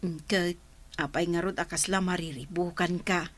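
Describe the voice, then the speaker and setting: A woman's voice: fairly low pitch, fairly even intonation, moderate pace, lightly acted. A woman playing a caring, inquisitive middle-aged mother in a radio drama. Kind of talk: Ilocano radio drama acting.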